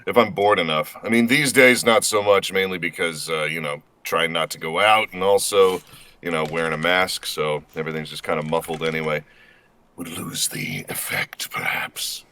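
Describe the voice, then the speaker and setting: A man's voice: low voice, steady tone, a little fast, sharp tone, slight background noise. An American man from Indiana, a voice actor chatting casually as a guest in a self-deprecating, slightly hesitant way. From Indiana, United States. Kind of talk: casual chat.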